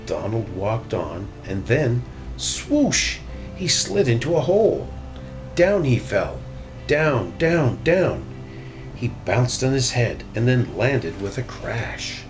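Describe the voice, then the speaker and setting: A man's voice: mid-range voice, very animated, takes it slowly. A man narrating a children's picture book as a storybook reader. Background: music.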